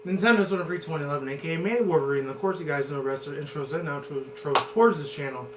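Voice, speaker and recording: A man in his twenties with a hoarse voice, rambling and cursing loosely as a vlog host talking about movies. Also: on the high side, fast talker. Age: 20s. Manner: loose and profane, rambles and restarts. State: hoarse from screaming. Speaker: channel host. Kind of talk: rambling casual movie chat. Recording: roomy, distant, noisy with hum.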